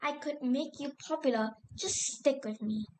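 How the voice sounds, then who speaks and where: Bully animal girl for a cartoon, acted by a woman: high, condescending, domineering, drawling with confidence. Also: moderate pace, close to an everyday voice. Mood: smug, scornful. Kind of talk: character audition lines.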